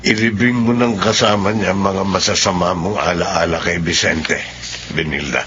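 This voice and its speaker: Deep-voiced, level-headed confidant. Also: male voice, steady tone, moderate pace, pushed and bright, a little hiss or hum. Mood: sober. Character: level-headed male confidant advising an embittered woman. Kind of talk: Filipino radio drama acting. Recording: dull, muffled radio sound.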